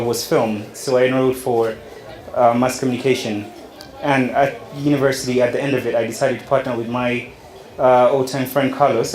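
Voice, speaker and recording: A young man from Uganda giving a personal story talk, unhurried, with many fillers and restarts. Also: mid-range voice, a little mellow. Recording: echoey room.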